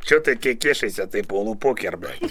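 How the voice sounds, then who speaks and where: A man's voice: on the low side, lively intonation, brisk, heavy background noise. Foul-mouthed man in his fifties bossing his team. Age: 50s. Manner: swearing nonstop, domineering, bossing his team around. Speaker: online tank game player in voice chat. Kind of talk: abusive trash talk over team voice chat.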